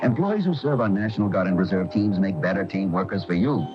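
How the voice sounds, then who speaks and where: A man's voice: deep voice, lively intonation, brisk, over music. A man reading a scripted radio public service announcement. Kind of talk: brisk scripted radio ad read. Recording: dull old AM radio tape.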